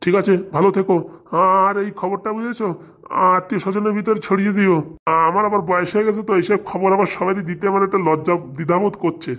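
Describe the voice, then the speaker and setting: Lady doing a shy excited middle aged boss guy, funny cartoon, talks fast, doting. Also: mid-range voice, natural voice. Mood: doting, eager. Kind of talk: comic cartoon dialogue.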